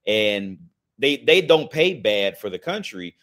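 Man, thirties, lowish voice, rambling casual talk, swears a lot. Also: sing-song, takes it slowly, fairly bright tone. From United States. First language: English. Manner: rambling, swears often, frequent restarts. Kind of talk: casual monologue.

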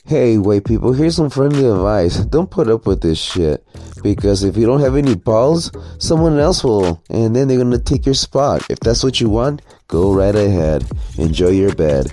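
A man's voice: lowish voice, lively intonation, normal speed, warm tone, soft background music. A man giving a casual, opinionated monologue in a blunt, sarcastic manner with plenty of swearing. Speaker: commentary vlogger.

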